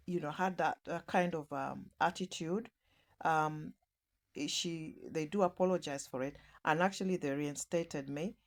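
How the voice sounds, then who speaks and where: A woman giving an unscripted personal testimony as a vlogger, with halting, deliberate phrasing and emphatic pauses. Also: lowish voice, vocal fry. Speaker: vlogger telling a personal story.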